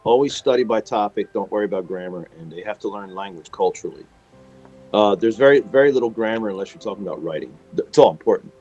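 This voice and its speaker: Husky, lively male teacher. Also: on the low side, quick pace, dull audio, faint music behind. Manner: emphatic, insistent advice. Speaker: English teacher.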